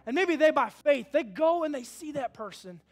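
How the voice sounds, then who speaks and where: High-voiced preaching man in his thirties. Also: normal speed, soft background music. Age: 30s. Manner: animated preaching, swinging from hushed to loud; call-and-response with the congregation. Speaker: pastor. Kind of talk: sermon.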